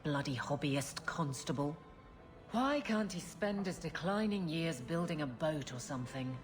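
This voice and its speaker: Female guard captain, no-nonsense, blunt, lowish pitch, dry delivery, game dialogue, music behind. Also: expressive, unhurried. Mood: exasperated, firm. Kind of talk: video game dialogue acting.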